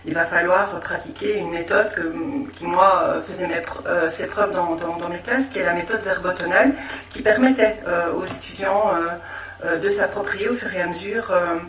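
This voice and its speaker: A woman's voice: fairly low pitch, fairly even intonation, normal speed, reverberant, far from the mic, poor audio. A woman teacher describing her classroom method, explaining and correcting herself as she goes. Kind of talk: interview testimony.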